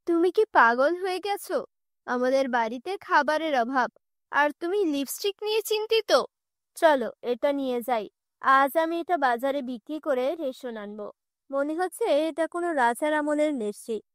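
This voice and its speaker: A woman's voice: high-pitched, lively intonation, normal speed, no room sound, clean studio sound. A woman voicing a poor, overworked, bitter mother who scolds, in a cartoon fairy tale. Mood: scolding, brisk.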